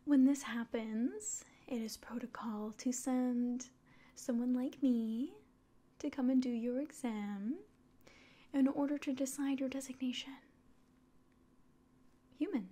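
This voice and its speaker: A woman in her twenties doing an ASMR roleplay as a doctor, speaking softly and often whispering in a polite, measured way. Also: high-pitched. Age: 20s. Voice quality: soft, breathy, often whispering. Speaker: ASMR performer. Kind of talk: ASMR roleplay as an alien doctor giving an exam. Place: small quiet room indoors.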